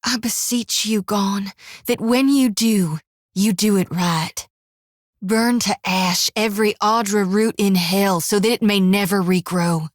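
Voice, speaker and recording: A woman's voice: mid-range voice, steady tone, measured pace, no echo, natural voice. An actress voicing a devout young woman priest in a fantasy game, talking in an earnest, folksy way. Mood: zealous. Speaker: companion character in a fantasy game. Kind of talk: video game voice acting. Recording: dry studio.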